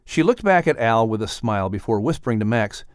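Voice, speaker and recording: A man's voice: fairly low pitch, lively intonation, brisk, clean tone, dry recording, clean studio sound. A man announcing and narrating a children's story in an upbeat, scripted style.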